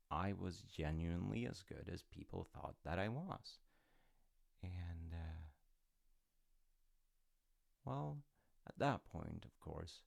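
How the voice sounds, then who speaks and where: A man playing a reflective, encouraging father in an intimate audio roleplay, musing and rambling in a deep, gravelly voice. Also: heavily acted. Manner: unhurried, musing, gently reassuring.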